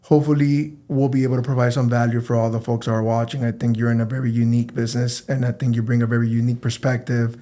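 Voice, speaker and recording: A male podcast host who talks fast in a mellow, dark tone. He is chummy and rambling, tossing around "bro" and "dude". He often restarts his words as he goes. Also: mid-range voice, flat delivery. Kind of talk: casual podcast interview.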